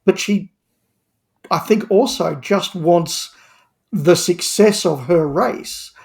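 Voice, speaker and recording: Guy with a highish voice chatting on a podcast, emphatic, repeats words while making his point. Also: takes it slowly, vocal fry. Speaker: podcast panelist. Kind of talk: discussion of audio drama.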